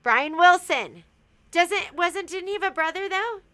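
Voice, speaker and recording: A woman hosting a relaxed livestream from a sewing shop, showing products in a chatty, teasing way. Her voice sits high and sounds bright and clear. She giggles easily between points. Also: a little slow. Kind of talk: casual livestream product talk.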